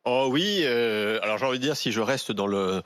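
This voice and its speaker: A man with a mid-pitched voice talks casually on a radio panel. He pauses and restarts while looking for his words. The recording is close and very dry. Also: a bit bright, no echo. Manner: hesitant, searching for words. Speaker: talk show panellist.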